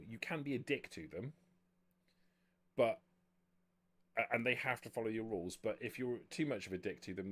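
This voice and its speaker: Deep-voiced male game master. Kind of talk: narrating live tabletop roleplay game.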